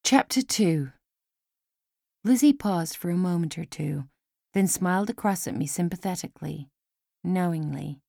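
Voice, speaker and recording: A woman's voice: on the low side, normal speed, vocal fry, long pauses, mellow, dry recording, studio quality. A woman narrating a story as an audiobook reader, in a scripted storytelling style.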